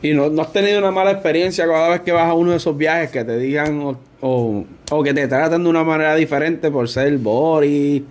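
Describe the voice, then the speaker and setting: Joking Puerto Rican man, high voice. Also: steady tone, brisk, hardly any pauses. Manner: joking, laughs often, slangy. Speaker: podcast host. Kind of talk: casual interview chat. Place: small studio with brick-look wall. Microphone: desk boom mic.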